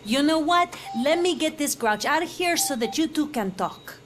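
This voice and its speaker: A high, bubbly, playful friend. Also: female voice, animated, normal speed. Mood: cheerful. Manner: bubbly, teasing. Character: cheerful, playful female party-starting friend. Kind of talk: video game cutscene acting.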